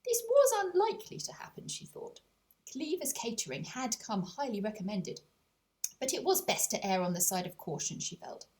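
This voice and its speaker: A woman narrating a scripted storybook as an audiobook, in an expressive storytelling manner. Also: medium pitch. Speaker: author narrating her own audiobook.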